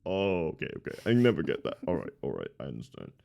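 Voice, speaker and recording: A man voicing a dim, good-natured Goliath barbarian in a tabletop game, earnest and slow-witted in simple phrases. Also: extremely low pitch, huge pitch swings, gravelly, dark tone, exaggerated voice. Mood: confused, earnest.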